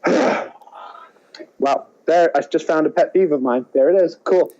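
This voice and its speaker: A dull, lo-fi recording of a man with a mellow, slightly high voice. He chats casually as a podcast co-host, rambling through his opinions with excitable energy. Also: a little slow, slight background noise. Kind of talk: casual movie chat. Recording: dull, muffled lo-fi sound.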